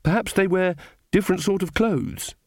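A man's voice: fairly high pitch, expressive, normal speed, vocal fry, no room sound, clean studio sound. Man doing an earnest, plaintive young witch woman. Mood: gloomy, plaintive.

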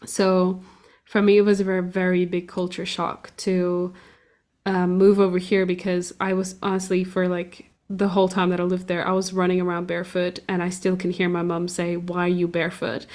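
A woman's voice: on the low side, completely flat delivery, moderate pace, darkish tone. A young woman from Portugal chatting casually, rambling with lots of fillers and restarts. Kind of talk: casual conversation.

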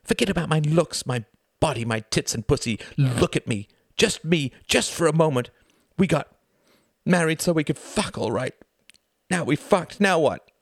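Man acting wounded young wife, high-pitched, pleading, bitter, insistent, audiobook drama, dry close sound. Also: lively intonation, extremely slow, gravelly, hardly any pauses, dry recording, heavily acted. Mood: angry, demanding.

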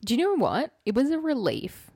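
Chatty young woman with a creaky voice. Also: high voice, huge pitch swings, normal speed, mellow. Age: late 20s to early 30s. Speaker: podcast co-host. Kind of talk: casual chatty storytelling.

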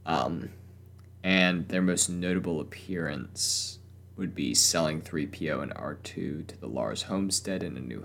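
A young man talks casually in a very low, gravelly voice. His voice sounds weak and strained from a lingering cough. He hesitates often and restarts his sentences. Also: a bit bright, a little hiss or hum. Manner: hesitant, many fillers and restarts. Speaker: podcast host. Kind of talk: casual solo talk.

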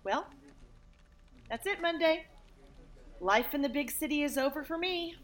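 A woman plays a sardonic young office worker in a comedy audio play. Her voice sits fairly high and swings widely as she gets wry, exasperated and melodramatic. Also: animated, measured pace. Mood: melodramatic, dismayed. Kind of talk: comic audio play acting.

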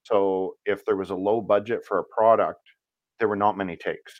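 Deep-voiced man chatting loosely. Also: moderate pace, no echo, highs cut off. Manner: rambling, relaxed storytelling with fillers. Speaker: voice actor guest. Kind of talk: casual interview chat.

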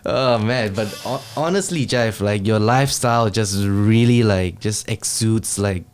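A man in his thirties with a softened Singaporean English accent hosts a relaxed podcast. He talks in a loose, slangy way and laughs often. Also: fairly low pitch, unhurried, a little hiss or hum. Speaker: podcast host. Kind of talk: casual chat.

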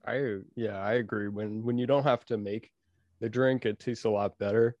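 A man's voice: fairly low pitch, steady tone, a little mellow, no echo, dull audio. Easygoing young man hosting a sports podcast. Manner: easygoing, halting with fillers and restarts. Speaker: podcast co-host. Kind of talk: casual sports podcast chat.

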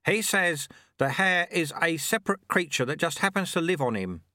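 A little old monk, played by a male narrator, cheerful and modest. His voice sits high and swings freely up and down, with a bright edge. He teases gently in a breezy, wry storybook manner. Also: expressive, normal speed, no room sound, clean studio sound. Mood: amiable, amused.

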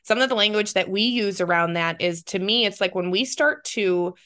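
A woman's voice: mid-range voice, normal speed, fairly bright tone. A woman from the American Midwest, co-hosting a podcast and responding enthusiastically with frequent "um" fillers. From Midwestern United States. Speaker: podcast co-host. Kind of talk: casual interview chat.

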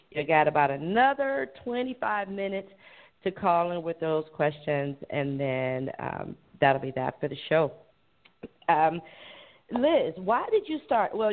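A host in their forties from Cleveland, Ohio, running a call-in show in a friendly, encouraging way with frequent restarts. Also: medium pitch. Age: 40s. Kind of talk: call-in radio interview. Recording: tinny phone line.

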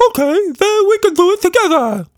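A squeaky, gleeful pink monster. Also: male voice, very animated, normal speed, dry recording, clean studio sound. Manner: bubbly, exclaiming. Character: cheerful playful stretchy pink creature.

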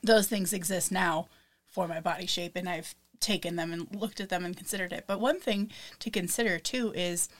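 A young woman co-hosting a podcast, chatting casually with lots of fillers and laughs, a little raspy. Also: lowish voice, normal speed. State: slightly raspy from vaping. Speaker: podcast co-host. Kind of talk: casual chat.

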